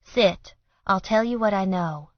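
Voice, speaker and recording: A woman's voice: medium pitch, unhurried, no echo, lo-fi sound, natural voice. A woman voicing a frank, matter-of-fact young best friend who speaks plainly. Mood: blunt.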